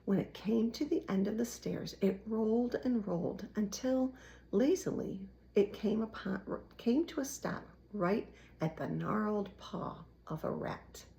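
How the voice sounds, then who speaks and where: A woman reading a children's storybook aloud in an expressive way. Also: medium pitch, measured pace, gravelly. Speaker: children's book reader.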